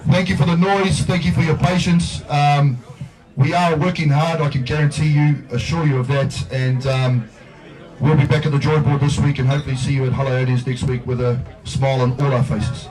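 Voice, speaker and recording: A man with a New Zealand accent, a rugby coach giving a humble, halting post-match speech. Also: fairly high pitch, little pitch movement. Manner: humble, halting, with many fillers. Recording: distant mic in loud crowded room.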